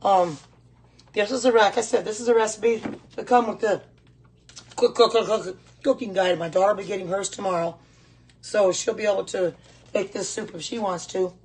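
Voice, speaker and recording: A middle-aged woman with a hoarse voice, chatting casually and repeating herself as she hosts a live cooking demonstration. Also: mid-range voice, normal speed, a little mellow, a little hiss or hum. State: hoarse voice, clearing throat at times. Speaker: host of a live home cooking stream. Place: home kitchen.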